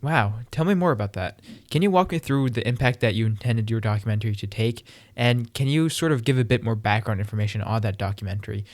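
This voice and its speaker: A man's voice: fairly low pitch, fast, few pauses, no echo, clean studio sound. A young man hosting a podcast, opening the show and asking curious, friendly questions. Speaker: podcast host. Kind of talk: podcast intro and interview questions.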